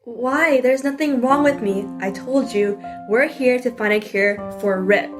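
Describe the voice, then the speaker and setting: Caring young heroine, female actor, high pitch, earnest and pleading, audio drama over music. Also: unhurried, natural voice. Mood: earnest, defensive. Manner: pleading, sincere. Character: earnest, caring young girl on a desperate quest. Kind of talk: fantasy audio drama acting. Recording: music behind the voice.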